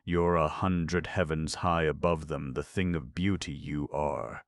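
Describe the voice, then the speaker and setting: A man's voice: rumbling low, expressive, a little slow, vocal fry, exaggerated voice. A man voicing a doting, weepy lover who coaxes in tearful endearments. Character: fond, weepy older male lover, coaxing.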